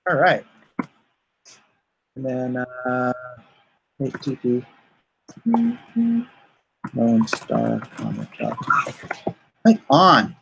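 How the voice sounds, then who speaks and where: A man with a mid-range voice hosts a casual livestream. He talks slowly and haltingly, thinking out loud. His manner is informal, with excitable exclamations as he addresses his viewers. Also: medium pitch, gravelly, a little hiss or hum, low-quality recording. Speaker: livestream host and comic artist. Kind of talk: casual livestream chat, reacting and thanking viewers.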